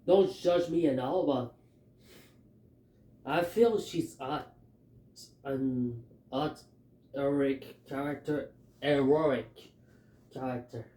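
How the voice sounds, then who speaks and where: Slow, halting man with a Filipino accent. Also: medium pitch, mellow, reverberant. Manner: halting, hesitant, many fillers. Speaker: fan commentator. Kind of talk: casual theory talk.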